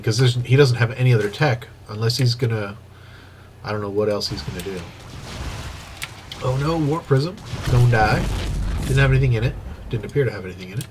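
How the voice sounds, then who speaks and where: A man with a stuffy, sniffly nose giving excitable play-by-play commentary on a strategy game replay, with casual asides, on an old microphone. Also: lowish voice, noisy background. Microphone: old microphone he wants replaced.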